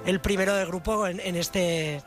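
A man giving live radio football analysis, halting and repeating words as he goes. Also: high-pitched, steady tone, fairly bright tone, faint music behind.

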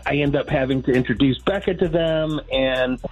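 A man's voice: mid-range voice, normal speed, dull audio, soft background music. A man with a Midwestern accent, calling in to a radio show and rambling sheepishly about his situation. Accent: Midwestern American. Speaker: caller. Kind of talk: casual phone call.

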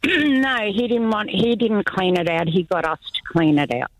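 A woman's voice: mid-range voice, animated, moderate pace, poor audio. Matter-of-fact woman caller. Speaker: anonymous radio caller. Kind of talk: casual personal account.

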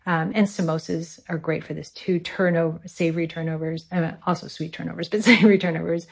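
A woman with a gravelly, slightly low voice speaks as a food expert. She gives casual advice in a conversational way, her tone husky and full of fry. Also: steady tone, a little fast. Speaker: food expert answering callers.

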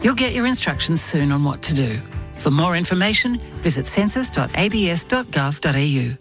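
An Australian woman reads a scripted government radio advert. Her voice is very low and varies animatedly, with faint music behind. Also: very animated, unhurried. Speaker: public announcement voice-over.

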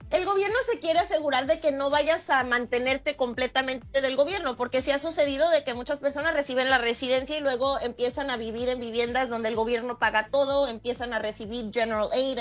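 A woman from Michoacán, a lawyer who is a radio guest, explaining legal rules in a steady, patient way. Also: high voice, a little fast, few pauses. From Michoacán, Mexico. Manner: steady and explanatory, laughs easily.

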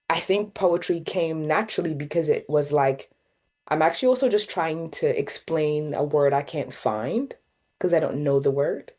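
Young sudanese woman, arabic first language, talks slow and searching with lots of ums, pretty low voice. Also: a little mellow. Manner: thoughtful, many fillers and restarts. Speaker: guest poet and artist. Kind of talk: reflective interview talk.

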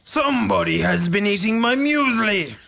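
A woman voicing a big, grumpy papa koala in a children's story, with a gravelly, bellowing growl. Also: mid-range voice, expressive, a bit bright, pitched above the performer's own voice. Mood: indignant bellowing. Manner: bellowing, slow.

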